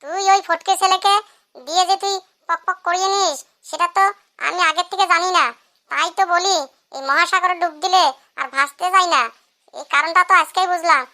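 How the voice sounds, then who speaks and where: A performer voicing a grumbling, pestering drunkard husband in a comic cartoon, whining through a raised-pitch effect. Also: steady tone, fairly quick, sharp tone, full character voice. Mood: grumbling, insistent.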